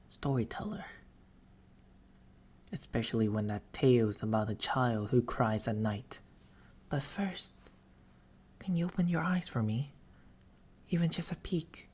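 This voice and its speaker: A man voicing an eerie, patient sleep paralysis demon in an ASMR roleplay, half-whispering slowly and intimately into a close microphone with coaxing, theatrical phrasing. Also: mid-range voice, a little mellow, husky. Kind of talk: ASMR roleplay, slow storytelling. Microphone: close binaural microphone.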